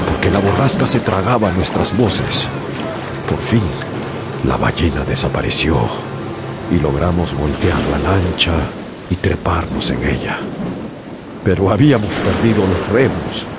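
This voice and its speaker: A man narrating a sea adventure in a radio drama, telling it earnestly as his own memory. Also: sits low. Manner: earnest storytelling, recalling the past. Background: dramatic music.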